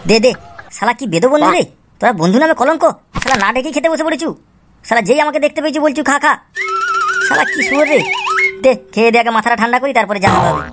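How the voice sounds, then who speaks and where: A woman's voice: high-pitched, lively intonation, quick pace, music in the background. A woman voicing an exasperated, scheming father in a comic cartoon, coaxing and blustering as he repeats himself. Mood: irritated. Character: exasperated, scheming father caught drinking with friends. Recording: clear background noise.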